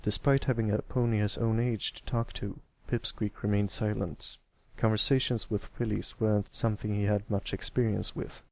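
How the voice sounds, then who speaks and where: A man narrates a storybook tale in a mid-pitched voice with a gravelly creak. The recording is close and dry, and he reads as an amateur audiobook narrator. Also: little pitch movement, moderate pace, hardly any pauses, no room sound.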